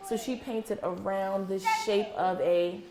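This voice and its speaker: A woman's voice: medium pitch, steady tone, a little slow. A woman teacher doing an interactive read-aloud for young children, patient and encouraging, prompting them with questions and repeating answers. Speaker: preschool teacher. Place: indoor classroom with children. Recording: echoey room with faint background noise.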